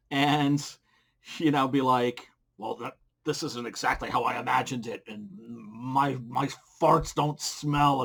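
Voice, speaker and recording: A middle-aged man talks casually at a desk mic at home, sharing creator advice. His voice sits at an ordinary pitch, and his delivery is blunt and informal, with a crude streak of humor. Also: a bit bright. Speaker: comic book creator giving advice. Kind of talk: casual advice vlog to camera. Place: small indoor home room. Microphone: desk microphone with pop filter, close.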